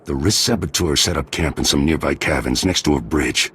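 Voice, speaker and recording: An actor playing a stern, worried older nobleman and father in a video game, with a very deep, gravelly, husky voice. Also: brisk, a bit bright, exaggerated voice. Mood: grim urgency. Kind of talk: dramatic video game acting.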